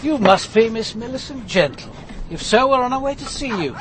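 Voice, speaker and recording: An actor playing a composed, probing police commander in a radio drama, speaking in a measured, precise way. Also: male voice, very high voice, lively intonation, noisy background. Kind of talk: radio drama dialogue and first-person narration.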